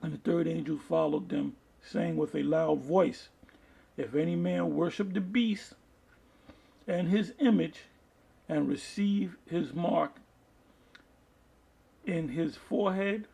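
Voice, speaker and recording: A man preaching informally online, reading aloud and commenting in a halting way, often restarting his phrases. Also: on the high side, gravelly, mellow, slightly rough. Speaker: preacher reading articles and scripture to an online audience.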